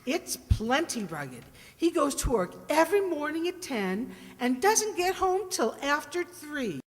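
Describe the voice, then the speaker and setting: A woman's voice: high voice, big pitch swings, unhurried. A woman playing a spirited, chatty housewife in an old-style radio sitcom. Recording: long hall reverb.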